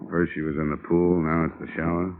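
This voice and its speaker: Wisecracking radio private eye, as an actor plays him. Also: male voice, low-pitched, little pitch movement, brisk, a little hiss or hum, lightly acted. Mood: wry. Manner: wry, clipped tough-guy delivery. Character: wisecracking male insurance investigator, dry and sardonic. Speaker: insurance investigator and narrator. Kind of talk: 1950s radio drama acting. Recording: tinny old radio broadcast.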